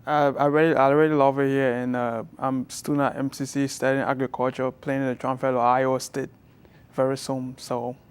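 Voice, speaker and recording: A young man from Liberia with a Liberian accent, answering interview questions softly and haltingly as a college student guest. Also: mid-range voice, flat delivery, clear, resonant tone. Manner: soft-spoken, halting, with many restarts.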